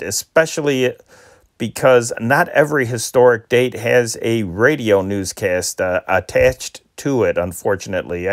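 An American man from Chicago hosting with casual, unscripted, halting and rambling talk. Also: fairly low pitch. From Chicago, United States. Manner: halting, rambling, hesitant phrasing. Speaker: channel host.

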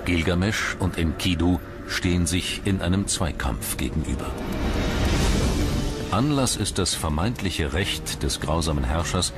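Very deep-voiced male documentary narrator, over music. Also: lively intonation, a little slow.

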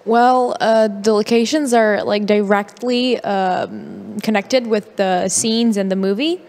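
A woman's voice: medium pitch, takes it slowly, a little mellow. A teenage girl, a young actress, answering interview questions and interpreting for her director, hesitant with many fillers. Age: teens. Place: festival press area, large hall. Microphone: handheld mic. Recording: hall reverb.

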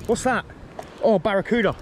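Guy fishing on the rocks, kinda high voice, swearing and hyped, wind and waves all over it. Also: normal speed. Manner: excitable, swearing, exclaiming. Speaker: angler filming himself. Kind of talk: live excited commentary while fishing. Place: rocky seashore outdoors. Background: wind and sea ambience.

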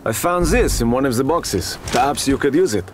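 A man voicing a calm, dry resistance fighter in a video game. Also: medium pitch, fairly quick, a little hiss or hum, natural voice. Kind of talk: video game cutscene acting.

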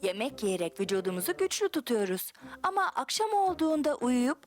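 A woman playing a gentle, patient mother in a children's cartoon, nurturing and expressive as she explains things. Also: high voice, fairly quick. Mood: gentle explaining.

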